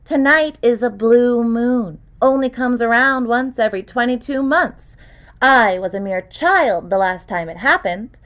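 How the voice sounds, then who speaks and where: A woman voicing a precocious young girl in an audiobook, calm and clear, with a cynical, worldly edge. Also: a little high, a little slow, pitched above the performer's own voice. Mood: breezy, dramatic. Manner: clear, calm, worldly beyond her years. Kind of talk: acted audiobook dialogue.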